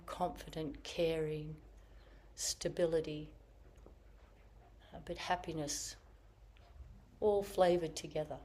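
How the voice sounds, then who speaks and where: Low-voiced woman giving a slow Zen talk. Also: darkish tone. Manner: slow, reflective, searching phrasing. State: slightly croaky from illness. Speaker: Zen teacher. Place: meditation hall with online audience.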